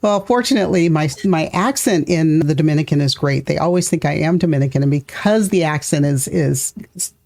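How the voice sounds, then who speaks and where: A middle-aged woman hosting a podcast interview, talking in a thoughtful, measured way and laughing easily. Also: sits low, creaky, darkish tone. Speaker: podcast host. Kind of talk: conversational interview.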